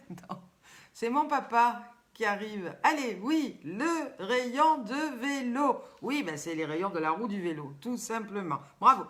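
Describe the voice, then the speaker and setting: A woman's voice: on the high side, takes it slowly. An older woman with a Southern French accent, hosting a chatty live stream, asking riddles and teasing viewers by name. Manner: lively, teasing, chatty, reads viewers' comments aloud. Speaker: host.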